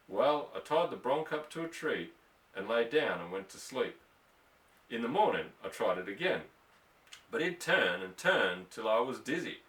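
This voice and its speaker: A man's voice: mid-range voice, a bit bright. Man putting on a folksy cowboy bronco buster, roomy echo. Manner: folksy drawling rustic dialect. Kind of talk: storybook character voice in audiobook. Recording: roomy echo, distant from microphone.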